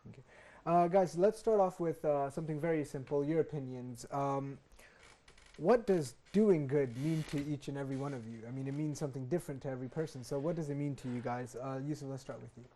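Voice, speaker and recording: A man's voice: mid-range voice, warm tone. A man hosting a studio panel discussion, welcoming guests and moving the talk along. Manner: brisk, welcoming, fillers like "uh". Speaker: talk show host.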